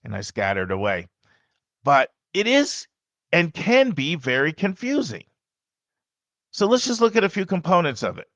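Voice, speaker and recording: A man hosting a podcast, speaking loudly and emphatically in a casual monologue with frequent asides to the listener. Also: a little high, huge pitch swings, moderate pace, long pauses, no echo. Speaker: podcast host and college admissions advisor.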